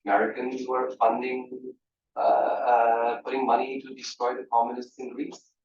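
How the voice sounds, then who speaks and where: A man from Portugal speaking as a panelist in a conversational discussion, animated, restarting phrases and often asking "right?". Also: medium pitch, moderate pace, slight background noise. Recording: roomy, distant, dull hall mic.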